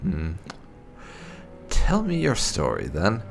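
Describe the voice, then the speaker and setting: A man's voice: sits low, wildly swooping pitch, slow, vocal fry, faint music behind. A man roleplaying through a video game, mixing sardonic in-character lines with casual commentary. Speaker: gaming video creator playing a roleplay character.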